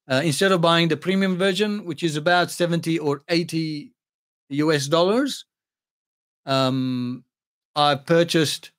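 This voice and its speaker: A man's voice: on the high side, a bit bright. A man with an accent, not born in Australia but living there for over thirty years, hosting a casual livestream Q&A and answering chat questions in a rambling way with many fillers. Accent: non-native English accent. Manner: rambling, many ums and restarts. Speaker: music channel host answering chat questions.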